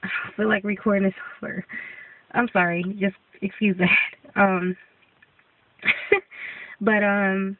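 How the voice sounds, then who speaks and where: A woman's voice: mid-range voice, little pitch movement, slow, long pauses, distant mic, slight background noise. A young woman vlogger giving a casual update, congested and coming down with a cold, rambling with many ums and restarts. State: congested, getting sick. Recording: tinny phone-like sound from off-mic.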